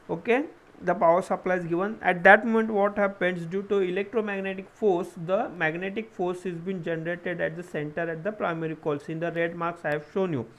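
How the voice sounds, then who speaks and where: A man with a high voice and an Indian accent teaches an engineering class live online. He explains step by step, asking questions and answering them himself. He often repeats his phrases as he goes. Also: fairly bright tone. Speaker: engineering lecturer.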